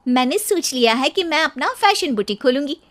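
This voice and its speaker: An eager young city-bred wife, acted by a woman in a cartoon story. Her voice is high and expressive, full of determination as a budding fashion designer. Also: a little fast. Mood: determined. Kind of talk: animated cartoon character voice.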